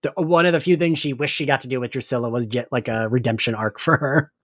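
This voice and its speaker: A man's voice: mid-range voice, quick pace, fairly bright tone, dry recording, clean studio sound. A man hosting a podcast, steering a casual fan discussion and thinking aloud in an informal way. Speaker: podcast host leading the discussion.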